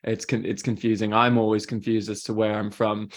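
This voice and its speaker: A man's voice: lowish voice, level tone, a little fast. A man with a British accent, a guest talking openly and easily in an interview. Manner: chatty, open, laughs easily. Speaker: guest, spiritual mentor. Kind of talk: casual interview chat.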